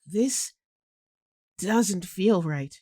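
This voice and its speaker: A woman's voice: mid-range voice, lively intonation, drawn-out words, heavy background noise. A woman playing a proud, troubled royal advisor in a fantasy audio drama, terse and guarded. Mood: guarded, uneasy.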